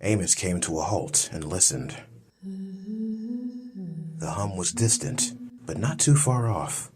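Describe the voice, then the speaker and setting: A man's voice: mid-range voice, wildly swooping pitch, slow pace, a crowd in the background. A man reading scripted dramatic story narration as an audiobook narrator. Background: music.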